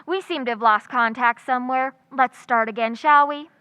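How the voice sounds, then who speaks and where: A woman acting a wry, quick-witted schoolteacher in old-time radio comedy, full of dry, sarcastic wisecracks. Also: sits high, bright, forceful tone, clean tone, dry recording. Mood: wry, playful.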